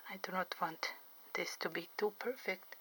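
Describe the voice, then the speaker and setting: A middle-aged woman, not a native English speaker, chatting slowly and carefully about her drawing, enunciating every vowel clearly. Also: fairly low pitch, a bit bright, slight background noise, poor audio. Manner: slow, careful, clearly enunciated, pauses often. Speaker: artist and interpreter. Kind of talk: drawing tutorial vlog chat. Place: home studio by a window.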